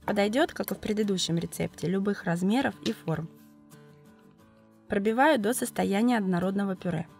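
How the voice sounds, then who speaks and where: A woman with a cold, narrating a cooking video as its host, explaining each step calmly and methodically. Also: medium pitch, normal speed, gravelly, faint music behind. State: has a cold, voice affected. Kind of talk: calm recipe voice-over narration.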